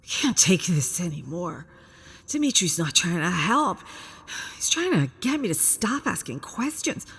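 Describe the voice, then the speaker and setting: Woman doing a edgy thirtysomething guy engineer in a sci-fi story, husky, frustrated and urgent. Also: lowish voice, sing-song, a little slow, fairly bright tone, exaggerated voice. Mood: frustrated, suspicious. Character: anxious, frustrated thirty-five-year-old male spaceship engineer.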